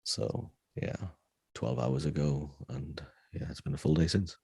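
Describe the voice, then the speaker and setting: A British man co-hosting a podcast in casual chat, tired after a late night, raspy and relaxed with fillers. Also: very deep voice, unhurried, dry recording. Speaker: podcast co-host.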